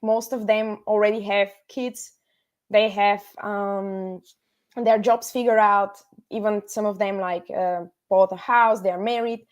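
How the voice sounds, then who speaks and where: A young woman from Bulgaria answering casually as an interview guest, reflective and earnest, with hesitant pauses. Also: mid-range voice, fairly even intonation, lo-fi sound. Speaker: interview guest, startup founder.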